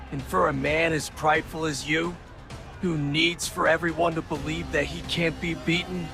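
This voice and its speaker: An actor playing a vengeful, menacing superhero in a comic-book drama, speaking with deliberate, measured pauses and a gravelly edge. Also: male voice, a little high. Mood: menacing, contemptuous. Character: vengeful, menacing male superhero threatening his enemy. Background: music.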